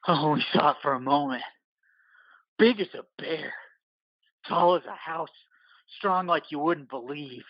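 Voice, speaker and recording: A man playing a wounded, hulking biker in an audio play, husky and bitter, swearing through gritted delivery. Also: a little high, unhurried, long pauses, no room sound, highs cut off. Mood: grim. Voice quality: husky, rough. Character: wounded, hulking, foul-mouthed male biker, gruff and bitter.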